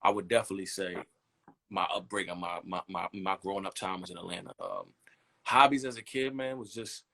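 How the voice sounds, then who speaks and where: A man in his thirties, a brand designer, chatting in a relaxed interview and rambling easily with lots of "you know what I mean". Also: low-pitched, brisk, vocal fry. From United States. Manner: easygoing, rambling, leans on "you know what I'm saying".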